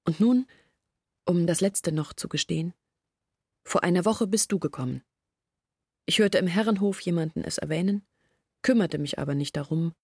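A woman's voice: lowish voice, normal speed, long pauses, darkish tone, slightly rough, no echo, studio quality. An actress playing a troubled young woman who earnestly recounts her family's long hardship in a literary radio drama. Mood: earnest, weary. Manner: earnest, reflective storytelling in long sentences. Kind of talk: German literary radio drama acting, monologue.

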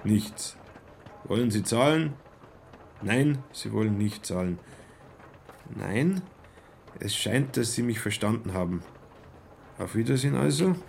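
Radio play: young travelling salesman, self-important, stilted, unhurried delivery with some fry, faint background ambience. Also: lowish voice, animated, a little mellow, slight background noise. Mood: studious, reciting. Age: young adult. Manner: stilted, pedantically correct speech.

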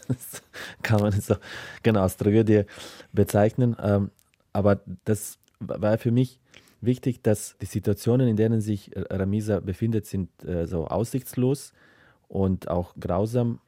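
A man's voice: on the low side, steady tone, measured pace, dark tone, no echo, clean studio sound. A man from the former Yugoslavia speaking reflectively as an interview guest, searching for words and repeating himself.

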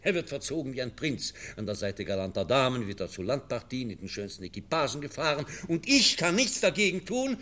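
A man's voice: mid-range voice, big pitch swings, brisk, hardly any pauses, a bit bright. A man playing a stern, worried professor in a radio play, emphatic and moralising in his delivery. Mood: exasperated. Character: stern, worried schoolmaster professor, exasperated guardian of a foundling.

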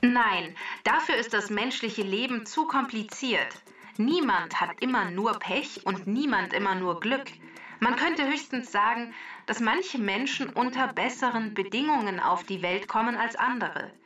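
A woman explains things in a structured, informative way. Her voice is fairly high and bright, and it sounds slightly distant from the microphone. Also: measured pace, soft background music. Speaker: expert explainer. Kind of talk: clear explanatory delivery.

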